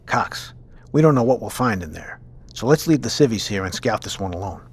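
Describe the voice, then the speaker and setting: A man's voice: on the low side, lively intonation, fairly quick, breathy edge. An actor playing a decisive male squad leader on a scouting mission, speaking in a clipped, commanding way in an audio drama. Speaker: team commander.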